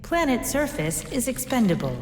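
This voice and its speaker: An actress playing an impassive space station computer in a game, giving clipped, emotionless warnings. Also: female voice, on the high side, sing-song, normal speed. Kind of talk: scripted automated announcement. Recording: echoey hall reverb, faint noise.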